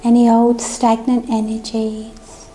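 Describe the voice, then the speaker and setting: Female meditation guide, gentle and soothing, high and mellow, over music. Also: completely flat delivery, poor audio. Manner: gentle, soothing, unhurried instructions.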